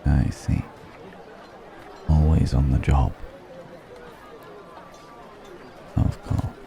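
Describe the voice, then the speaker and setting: Man acting a very deep, gravelly dragon stranger. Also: steady tone, exaggerated voice. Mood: wry, teasing. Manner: deliberate, musing, gently mocking, long pauses. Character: suave, probing male dragon posing as a curious stranger. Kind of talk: ASMR roleplay, intimate dramatic monologue. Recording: background music and crowd murmur.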